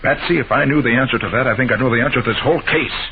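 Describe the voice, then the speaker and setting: An actor playing a calm, commanding male private detective in a 1940s-style radio drama, brisk and authoritative. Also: mid-range voice, lightly acted. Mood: puzzled musing. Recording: noisy, dull old-time radio recording.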